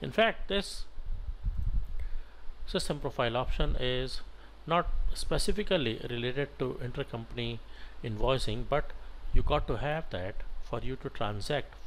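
A man teaching software as an instructor, talking through an on-screen tutorial step by step in halting, instructional phrases. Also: medium pitch, rough recording. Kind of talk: screen-share tutorial narration, unscripted step-by-step. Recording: noticeable background noise.

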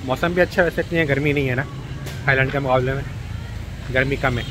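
A man's voice: medium pitch, fairly even intonation, fast. A man with a Pakistani Urdu accent, chatting in a rambling, casual way as he walks and films a travel vlog. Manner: chatty, rambling, with many fillers. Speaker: travel vlogger. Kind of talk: casual walking vlog commentary. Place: busy city street at night, outdoors. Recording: loud street traffic noise. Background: heavy motorbike and traffic noise.

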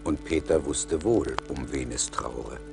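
A man's voice: rumbling low, unhurried, vocal fry, dark tone, soft background music. A man narrating a scripted fairy tale in a radio play.

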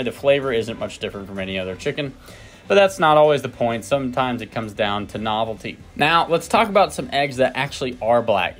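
A man's voice: mid-range voice, a bit bright. A man giving a scripted, lightly playful voice-over narration.

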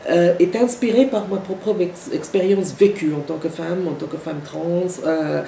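A woman, a from Sri Lanka, giving an academic lecture in a halting way, with many restarts and repeated words. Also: fairly low pitch, fairly even intonation, gravelly, mellow. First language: Sinhala. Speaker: guest lecturer. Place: university seminar room. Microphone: far camera. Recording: echoey room from far off, faint noise.

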